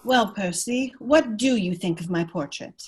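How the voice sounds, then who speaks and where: A woman's voice: mid-range voice, animated, unhurried, husky. A woman playing a proud, unhappy, neglected aristocratic wife in an amateur radio play read, earnest and wounded. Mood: wounded, wistful.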